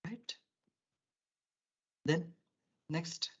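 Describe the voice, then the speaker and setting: A male teacher giving a soft skills class, rambling with many "you know" fillers. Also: on the high side, very slow, slightly rough. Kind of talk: online class lecture. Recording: dull lo-fi audio.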